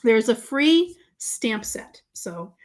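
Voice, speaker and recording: A woman's voice: fairly high pitch, big pitch swings, takes it slowly. A woman with a Boston accent hosting a live crafting demo, chatty and gushing, talking to viewers by name. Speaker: craft show host.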